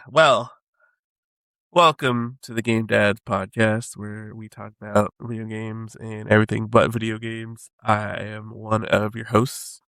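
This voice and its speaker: A man co-hosting a podcast in casual chat, husky from a head cold, laid-back and rambling. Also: lowish voice, steady tone, takes it slowly, a bit bright, dry recording. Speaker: podcast co-host.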